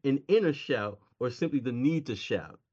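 A man's voice: medium pitch, animated, moderate pace, darkish tone, husky, highs cut off. A man hosting an interview, chatty and rambling in his questions, laughing often. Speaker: interview host. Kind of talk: casual interview conversation.

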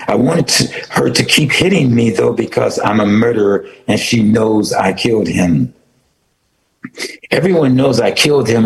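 Guy reading as a grieving sixteen yr old, somber and steady, lowish voice, echoey room. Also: creaky. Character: sixteen-year-old grieving teen narrator. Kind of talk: reading a story aloud. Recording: roomy echo, faint noise.

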